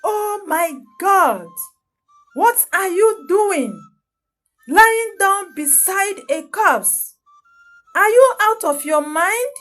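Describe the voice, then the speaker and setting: A woman storyteller voicing a shocked, angry male morgue guard in a folktale, loud and scolding. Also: very high voice, lively intonation, very slow, soft background music, voice pushed up, exaggerated voice. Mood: shocked outrage.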